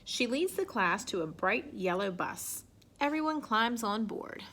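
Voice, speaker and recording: Lady reading a kids book out loud, highish voice, super animated and expressive. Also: measured pace, slight background noise. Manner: expressive, acts out sound effects and exclamations. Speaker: storybook reader. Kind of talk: children's picture-book read-aloud.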